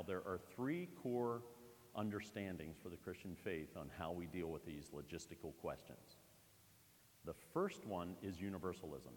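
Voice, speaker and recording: A man from Pennsylvania preaching a conversational sermon in a church, with lively asides to the congregation. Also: low-pitched, big-room sound, a little hiss or hum. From Pennsylvania, USA. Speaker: pastor.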